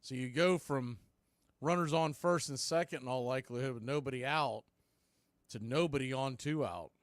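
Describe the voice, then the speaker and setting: A man calling live baseball play-by-play in an excitable, folksy radio style. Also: mid-range voice, moderate pace, no echo, clean studio sound. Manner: excitable, folksy exclamations on big plays.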